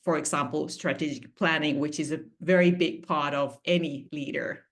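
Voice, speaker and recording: A woman with a Finnish accent explains her coaching work over a video call, her voice sitting on the low side. She talks in a measured, careful way, with phrasing that now and then shows English is not her first language. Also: steady tone. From Finland. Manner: measured, explanatory, slightly non-native phrasing. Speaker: executive coach guest. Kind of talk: interview answers.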